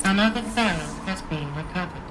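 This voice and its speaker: A woman voicing a calm, formal, emotionless computer security system, processed and set over noisy background sound. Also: fairly low pitch, fairly quick, natural voice. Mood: impersonal, formal.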